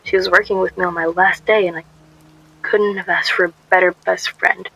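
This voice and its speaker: A woman's voice: fairly low pitch, little pitch movement, moderate pace, gravelly, bright, forceful tone, slightly rough, muffled recording, exaggerated voice. A woman playing an anxious, guarded young shop worker, narrating a radio drama in a wry, confessional way. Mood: wry, uneasy narration.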